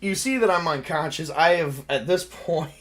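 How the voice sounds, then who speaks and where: A man speaking casually and haltingly, with some swearing, as he narrates and plays an improvised tabletop horror game as game master. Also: on the high side, expressive, fairly bright tone.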